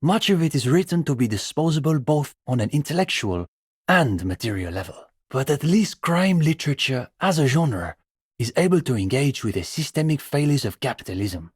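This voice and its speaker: Earnest, pretentious student radical, husky voice. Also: male voice, mid-range voice, big pitch swings, moderate pace, no echo, studio quality. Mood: earnest, intellectual. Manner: bookish, opinionated, academic phrasing. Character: earnest, pretentious young male communist student intellectual. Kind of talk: video game character dialogue.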